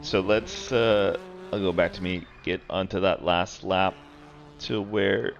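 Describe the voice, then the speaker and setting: A man's voice: low voice, steady tone, slow, muffled recording, a little hiss or hum. A man streaming sim racing, casually talking through a race replay and thinking aloud with many fillers.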